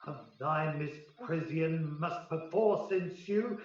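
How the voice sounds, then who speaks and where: A man's voice: on the high side, slow. A man playing a commanding fairy king in a Shakespearean radio play, chanting verse in a stately, incantatory way. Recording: very echoey, distant, slightly dull.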